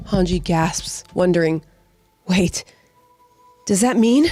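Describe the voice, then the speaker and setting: A woman's voice: on the low side, slow, a little mellow, dry recording. Slightly nasal woman co-hosting a podcast. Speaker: podcast co-host and narrator. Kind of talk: casual chat and scripted narration. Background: background music.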